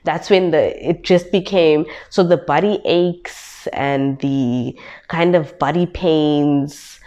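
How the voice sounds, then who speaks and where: A woman's voice: sits low, darkish tone. A young woman from South Africa, congested and stuffy, casually retelling her illness in a vlog and repeating herself for emphasis. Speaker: vlogger.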